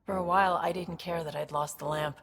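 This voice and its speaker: Video game role: hardened woman wanting an honest fresh start, deep gravelly delivery, plain-spoken. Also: fairly even intonation, brisk, crowd noise. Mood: reflective, hopeful. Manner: blunt, informal, reflective.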